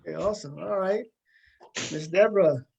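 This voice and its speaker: High-pitched male talk show host. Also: brisk, creaky, darkish tone, a little hiss or hum. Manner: informal, repeats phrases. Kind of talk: casual interviewing.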